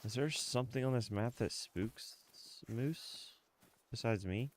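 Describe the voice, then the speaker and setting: A man's voice: mid-range voice, a little slow. A congested, sniffly man in his mid thirties chatting casually as he livestreams a game, close on the mic. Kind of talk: casual livestream chat with gameplay commentary. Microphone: close streaming microphone.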